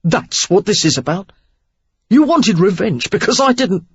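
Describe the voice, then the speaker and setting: A woman doing a husky Cockney man, rough and strained. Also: medium pitch, animated, measured pace, dry recording, highs cut off, pitched above the performer's own voice, exaggerated voice. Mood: angry. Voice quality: husky, hoarse. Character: rough intense Cockney man, club owner.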